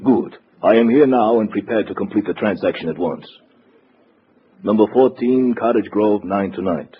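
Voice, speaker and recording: An actor playing a haughty, secretive party courier in a 1950s radio drama, speaking formally and imperiously with a deliberate delivery. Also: male voice, on the low side, close to an everyday voice. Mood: formal, commanding. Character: haughty, secretive male Eastern European courier and veteran agent. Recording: muffled, hissy vintage radio recording.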